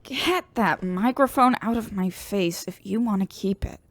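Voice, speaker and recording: A woman's voice: mid-range voice, measured pace, dry recording. A woman playing a cantankerous, blunt patient in a sci-fi audio drama, grumpy and threatening.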